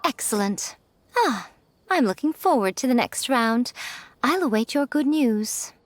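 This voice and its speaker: A woman voicing a sly, elegant, self-assured publisher in an animated game, composed and teasing. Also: on the high side, a little slow.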